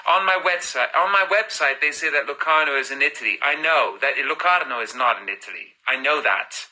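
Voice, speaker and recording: A man in his thirties with a very bright, sharp-edged voice pitched in an ordinary middle range. He chats loosely into a phone, reading out fan questions and answering them, sliding from one language to another without pause. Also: low-quality recording. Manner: switches between languages mid-answer, reads comments then replies. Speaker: singer answering fan comments on a live stream. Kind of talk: casual live-stream chat, reading fan questions aloud.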